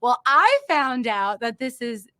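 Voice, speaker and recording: A woman's voice: on the high side, very animated, a little slow, sharp tone, clear, resonant tone, dry recording. Chatty young woman reporter, dry sound. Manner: chatty, laughs through stories. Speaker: guest reporter. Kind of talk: casual chat.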